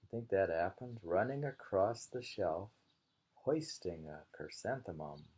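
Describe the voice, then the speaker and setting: A man's voice: low-pitched, expressive, measured pace, a little mellow, breathy edge. A man reading haiku aloud, repeating lines and musing on them in casual commentary. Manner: musing, repeats each poem. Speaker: reader and host of a poetry reading.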